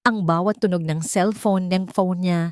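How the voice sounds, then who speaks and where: A woman's voice: fairly low pitch, fairly even intonation, moderate pace, a little mellow, dry recording, studio quality. A woman reading a scripted dramatic story as a storyteller.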